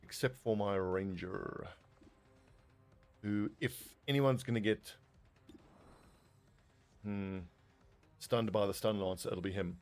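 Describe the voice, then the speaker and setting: A man's voice: on the low side, slow, pauses often, darkish tone, soft background music. A man casually commentating his own strategy game play, thinking aloud and swearing now and then. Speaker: gaming video host.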